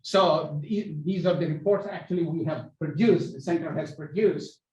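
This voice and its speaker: A man moderating an academic panel, presenting research in halting, repeated phrases. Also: a little high, darkish tone, highs cut off. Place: university hall. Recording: echoey hall, distant mic.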